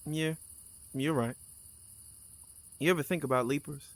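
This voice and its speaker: A man's voice: on the high side, unhurried. A man playing a curious, world-weary time leaper of fifty in an audio drama, casual and familiar. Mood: casual, pensive. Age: 50s. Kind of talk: naturalistic audio drama dialogue.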